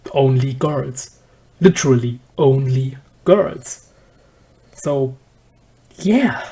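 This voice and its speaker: A person giving a casual, halting episode review as an anime reviewer, in slightly non-native phrasing. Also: medium pitch, mellow.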